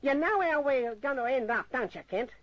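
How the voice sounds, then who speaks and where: An actor playing a cowardly, chatty small-time Australian sidekick in an old radio crime drama, with rough working-class speech and stammering excuses. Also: male voice, extremely high pitch, expressive, moderate pace, no room sound. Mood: nervous bluster. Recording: muffled old radio recording.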